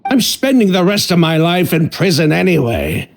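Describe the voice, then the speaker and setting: A man's voice: fairly high pitch, big pitch swings, gravelly, slight background noise. A bitter, defiant young man. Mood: scornful. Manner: slow, scornful. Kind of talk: video game voice acting.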